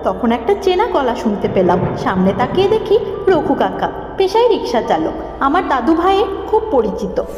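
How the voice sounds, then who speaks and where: Very high-pitched frightened schoolgirl acted by a woman. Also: big pitch swings, normal speed, a little mellow. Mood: anxious, dramatic. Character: timid, frightened seventh-grade schoolgirl telling her ghost story. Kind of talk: dramatic horror story narration. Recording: echoing, long reverb, muffled lo-fi, music. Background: music.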